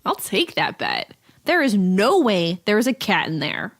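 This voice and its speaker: A woman's voice: medium pitch, very animated, unhurried, noisy background. A woman playing a confident, teasing young adventurer in an audio drama, speaking in a wry, conversational way.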